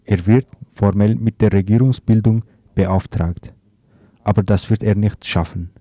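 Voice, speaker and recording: A man delivers a scripted radio commentary in a fairly low voice with a soft, dark tone. His reading is a little halting, and the sound is dry, with no room around it. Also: fairly even intonation, dry recording. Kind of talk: scripted political commentary, read aloud.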